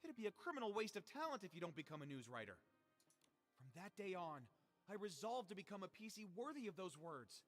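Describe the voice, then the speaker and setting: An actor playing an excitable, ambitious young male reporter in a video game, theatrical and earnest, with a self-important streak. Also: sits high, a little fast, faint music behind. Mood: earnest, proud. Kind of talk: video game character dialogue.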